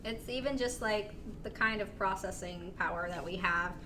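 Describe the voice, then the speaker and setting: A woman's voice: medium pitch, normal speed. A young woman co-hosting a gaming channel, talking casually in a group chat in a noisy room.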